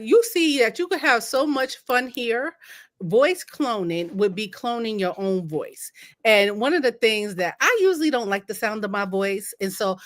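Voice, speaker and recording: A middle-aged woman with a Northern US accent walks through a live demo in loose chat, laughing and restarting sentences. Her pitch sits mid-range, and the sound is close and dry from a computer mic. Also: no room sound. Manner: laughs often, trails off and restarts. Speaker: co-host.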